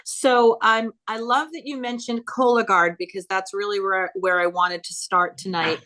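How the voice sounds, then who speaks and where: A woman's voice: mid-range voice, steady tone, normal speed, bright, forceful tone. A woman in her fifties speaking as a guest at a town health board meeting, giving an earnest personal account and quoting others along the way. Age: 50s. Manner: earnest, storytelling, quotes others in her own voice. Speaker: guest speaker, cancer survivor.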